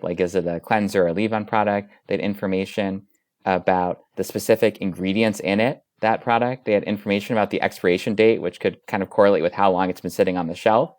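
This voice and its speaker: A man's voice: low voice, darkish tone, no room sound, studio quality. A man speaking as an expert interview guest, explaining medical research.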